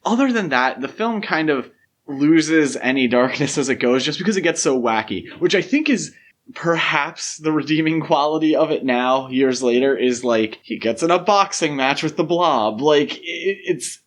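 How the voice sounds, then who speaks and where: A man's voice: fairly high pitch, lively intonation, normal speed. A man hosting a podcast, discussing film in a casual but detailed, explanatory way. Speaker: podcast host.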